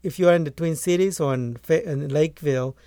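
Gravelly man from Guatemala. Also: fairly high pitch, fast, dark tone, no room sound, studio quality. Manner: earnest, restarts phrases often. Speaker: poultry farmer and author. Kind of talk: conversational interview answers.

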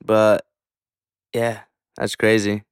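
A man's voice: on the low side, monotone, takes it slowly, smooth, no echo, clean studio sound. A man hosting a podcast in casual, hyped, slangy talk with some swearing, a native English speaker. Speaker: podcast host.

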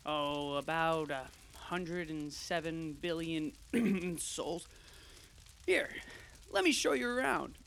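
Man doing a bored sarcastic lord of hell, dry deadpan tour guide vibe. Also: a little high, slow pace, vocal fry, full character voice. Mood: sardonic. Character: sardonic male ruler of hell, weary and dry.

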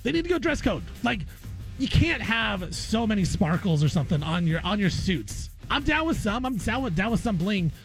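A man's voice: high voice, big pitch swings, moderate pace, faint music behind. A man hosting sports radio in a casual, opinionated way, repeating phrases for emphasis. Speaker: sports radio guest host.

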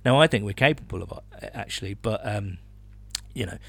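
A man's voice: lowish voice, lively intonation, fairly quick, gravelly, a bit bright, no room sound. A man co-hosting a sports podcast, chatting casually with colourful, colloquial turns of phrase. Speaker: podcast co-host.

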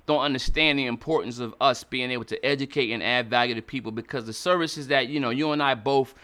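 Bright-voiced enthusiastic male host. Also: mid-range voice, fast talker. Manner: enthusiastic, slangy, laughs often. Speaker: show host. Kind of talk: interview hosting.

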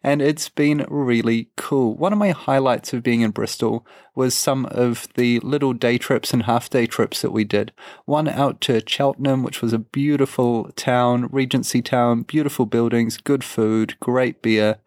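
A man co-hosting a travel podcast in a relaxed, rambling way, his voice croaky from a cold. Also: medium pitch, unhurried, dry recording, clean studio sound. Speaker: podcast co-host. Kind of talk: casual travel chat.

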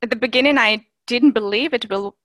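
A woman's voice: fairly high pitch, a little fast, fairly bright tone, dry recording, studio quality. A woman whose first language is Russian, speaking English as an interview guest about teaching German, with many fillers and restarts. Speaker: German teacher and Instagram creator. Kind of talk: interview guest, casual conversation.

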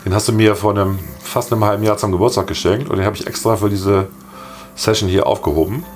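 A hoarse man with a slight cough, co-hosting a podcast in casual, joking chat and laughing often. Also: fairly low pitch, fast talker, soft background music. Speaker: podcast co-host.

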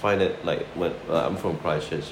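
Low-voiced man from Malaysia, echoey noisy recording. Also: moderate pace. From Malaysia (Sarawak), later New Zealand. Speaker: chef being interviewed. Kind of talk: casual interview chat, anecdotes. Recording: roomy echo, loud background noise.

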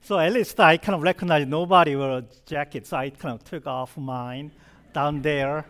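Korean-accented pastor with a higher voice. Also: male voice, normal speed, a bit bright. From South Korea. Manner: chatty and humorous, asks the congregation questions. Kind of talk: conversational sermon.

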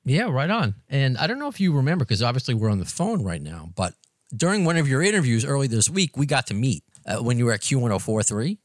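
A middle-aged podcast host with a New York accent talks in a casual, self-deprecating way. His pitch swings widely as he chats. The sound is dry and close, with no room around it. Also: quick pace, dry recording. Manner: chatty and self-deprecating, animated intonation. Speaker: podcast host and radio presenter. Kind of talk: casual interview chat.

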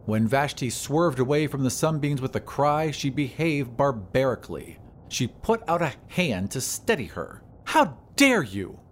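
A man's voice: medium pitch, lively intonation, normal speed, few pauses, slight background noise. A man reading scripted narration as the narrator and host of an audio drama podcast. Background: music.

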